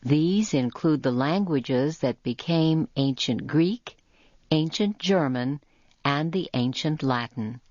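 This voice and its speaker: A woman reading a scripted educational narration for English learners, with deliberate phrasing and careful enunciation. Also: low voice, a little mellow, no room sound. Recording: muffled lo-fi audio.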